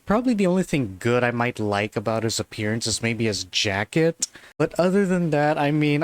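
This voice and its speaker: A man's voice: medium pitch, background noise. A man giving a casual spoken commentary in a loose, chatty way, full of fillers and the odd laugh. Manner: loose and chatty, full of "like" and "you know", laughs now and then. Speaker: video commentator.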